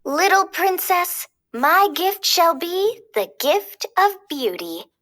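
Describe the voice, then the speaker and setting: A woman voicing a kindly fairy godmother in a storybook, speaking in a gentle, sweet singsong. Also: extremely high pitch, very animated, slow, pitched above the performer's own voice, full character voice. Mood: sweet, tender. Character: kindly good fairy godmother blessing a baby princess.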